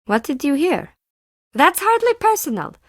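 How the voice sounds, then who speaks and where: A woman's voice: high-pitched, very animated, moderate pace, no echo, studio quality. An actress playing a timid, defensive woman artist and forger in a video game, with intense, theatrical delivery in clipped phrases. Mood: defensive, guarded. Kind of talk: scripted video game character dialogue.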